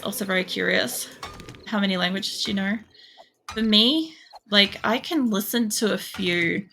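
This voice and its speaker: Gravelly, bright-voiced woman streamer chatting. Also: slight background noise. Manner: mostly monotone, jumps much higher when excited; rambling, lots of "like" and swearing. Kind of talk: casual game stream chat.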